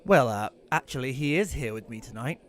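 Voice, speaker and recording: A man's voice: medium pitch, animated, a little fast, close to an everyday voice. A man playing an awkward, apologetic widower telling his story in an audio drama, with a hesitant, self-conscious manner. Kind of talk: first-person audio drama narration and dialogue.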